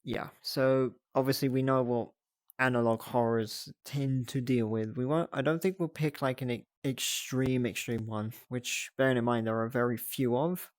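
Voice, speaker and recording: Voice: mid-range voice, steady tone, normal speed, no room sound, studio quality. A livestreamer chatting casually to viewers in a giggly way, often thinking aloud. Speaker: livestreamer hosting a reaction stream.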